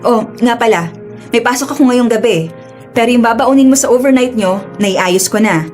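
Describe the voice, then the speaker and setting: A woman's voice: a little high, moderate pace, darkish tone, soft background music, close to an everyday voice. An actress playing a protective, caring older sister, a married working woman, in a radio drama. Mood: caring, brisk.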